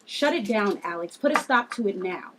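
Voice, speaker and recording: A woman's voice: mid-range voice, expressive, moderate pace, noisy background, natural voice. A woman at a table read playing a fierce, protective aunt who readily confronts and threatens. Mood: angry.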